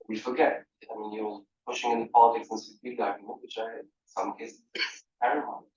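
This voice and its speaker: A man from Portugal speaking as a panelist in a conversational discussion, animated, restarting phrases and often asking "right?". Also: lowish voice. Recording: roomy, distant, dull hall mic.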